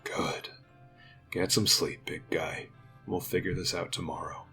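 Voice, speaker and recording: A man acts out a gruff but tender uncle in an audio drama. He is earnest, folksy and plainspoken, with gravel in his voice. Music plays faintly behind him. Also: low-pitched, level tone, measured pace, pushed and bright, full character voice. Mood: soft.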